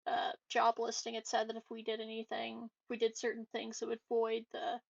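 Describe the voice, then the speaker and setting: Even, creaky-voiced woman, fairly high. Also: moderate pace, dry recording, lo-fi sound. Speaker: tabletop game player. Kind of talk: casual game-table talk.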